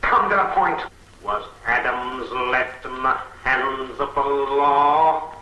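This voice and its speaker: An actor playing an imperious invisible master criminal in a 1940s film serial, speaking formally and with menace. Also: male voice, medium pitch, sharp tone, highs cut off. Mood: stern, menacing. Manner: commanding, slow, formal, menacing. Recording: echoey, noisy 1940s film soundtrack.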